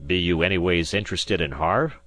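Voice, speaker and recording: Male reader as gruff, fair-minded schooner captain for an audiobook, folksy and rustic, low register. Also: a little fast, a bit bright, dry recording. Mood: frank, kindly. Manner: rustic, folksy turns of speech. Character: gruff, fair fishing schooner skipper, adult man.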